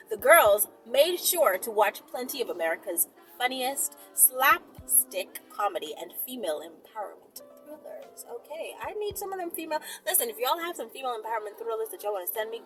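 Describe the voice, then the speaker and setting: A woman reading a story aloud in an expressive, informal, giggly manner with chatty asides. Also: a little high, rough recording.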